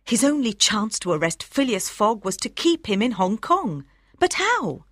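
A woman reading scripted storybook narration for language learners, with careful, expressive enunciation. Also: fairly high pitch, a little slow, no room sound, studio quality. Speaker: audiobook narrator.

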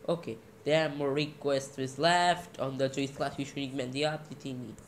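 A man presenting a casual screen-recording tutorial in halting, fragmented steps. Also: medium pitch, fairly even intonation. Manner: halting, fragmented step-by-step speech. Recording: noisy amateur tablet screen recording.

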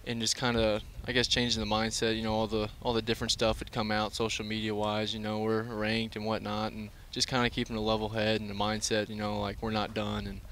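A young male college baseball player in a postgame interview, speaking through a headset mic at an outdoor ballpark at night, halting, with many fillers and restarts. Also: on the low side, completely flat delivery, a bit bright, slight background noise. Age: young adult.

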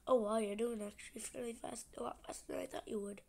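Stuffy-nosed youngster narrating a game. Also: female voice, fairly high pitch, steady tone, fast, dark tone. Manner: chatty, rambling, often half-whispering. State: stuffy nose from a cold. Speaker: gaming channel host. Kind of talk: casual gameplay commentary.

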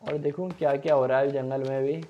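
A man's voice: medium pitch, steady tone, brisk, dull audio, a little hiss or hum. A young man giving casual let's play commentary, thinking aloud and repeating himself as he plays. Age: young adult. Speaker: gaming streamer.

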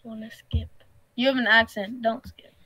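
A woman's voice: on the high side, level tone, normal speed, sharp tone, husky. Blunt young woman. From United States. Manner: blunt, teasing, rude. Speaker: stranger on video chat.

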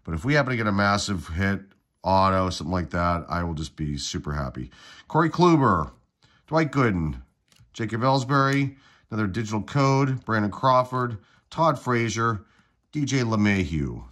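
A man's voice: on the low side, moderate pace. A congested middle-aged man getting over a cold, casually running through trading card packs on his channel at a table indoors. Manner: relaxed, rattles off player names. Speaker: card-collecting channel host. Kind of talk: casual pack-opening commentary.

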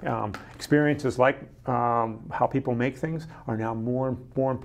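Give me a middle-aged man as an enthusiastic interview guest, medium-pitched, with ums and restarts, on a lapel mic. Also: fairly even intonation, unhurried, slight background noise. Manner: enthusiastic, gestures a lot, frequent ums and restarts. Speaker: science center director, guest. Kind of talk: casual interview chat.

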